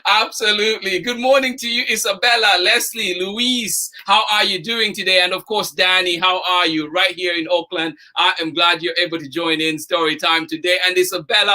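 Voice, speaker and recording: A man's voice: high-pitched, sharp tone. A man hosting a children's story time livestream, greeting viewers by name in an exuberant, effusive manner.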